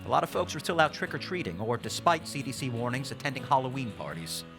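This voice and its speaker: A man's voice: medium pitch, big pitch swings, vocal fry. Solemn male radio documentary host. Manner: solemn, measured, dramatic pauses. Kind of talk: dramatic scripted radio narration. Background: music under the voice.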